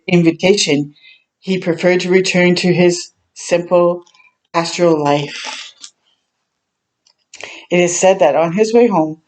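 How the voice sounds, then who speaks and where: Slow-paced woman reading a storybook aloud. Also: on the low side, poor audio. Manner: gentle interjections and reactions to the story. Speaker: storytime host reading children's books aloud. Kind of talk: read-aloud narration with chatty asides.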